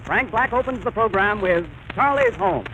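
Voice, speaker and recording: A man's voice: high voice, normal speed, loud music behind the voice. An American man announcing a scripted 1930s radio advertisement in a formal, emphatic sales delivery. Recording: dull, noisy 1930s radio recording.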